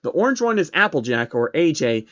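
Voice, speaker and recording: A man's voice: fairly high pitch, sing-song, brisk, fairly bright tone, lo-fi sound. A man voicing a bookish, earnest and easily annoyed female unicorn pony, explaining primly in a story reading.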